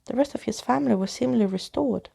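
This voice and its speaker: A woman reads a children's story in a soft-spoken ASMR style. Her voice is husky and mellow, with a very heavy creak. She tells it expressively, like a bedtime storyteller who acts out each character. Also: a little high, quick pace. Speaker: storyteller reading a children's book.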